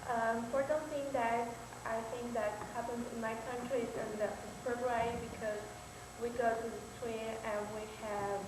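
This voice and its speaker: A teenage girl from Paraguay, a native Spanish speaker, describing her country in halting speech as an exchange student. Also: on the high side, little pitch movement. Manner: halting, searching for words. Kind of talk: short self-introduction speech. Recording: very echoey room, noisy.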